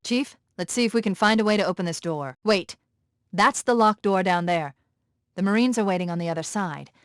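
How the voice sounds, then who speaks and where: An actress playing a brisk, sharp-witted female military artificial intelligence in a video game, speaking in a crisp, purposeful and articulate way. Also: mid-range voice, a little hiss or hum, lightly acted. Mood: focused, businesslike. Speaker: AI companion giving tactical guidance. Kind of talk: scripted video game voice acting.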